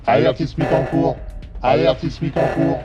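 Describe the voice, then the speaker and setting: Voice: medium pitch, steady tone, moderate pace, gravelly, natural voice. A man playing the computer of a space base, giving formal, impassive status announcements. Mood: impassive alert. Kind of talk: formulaic system announcements. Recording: music bed behind the voice.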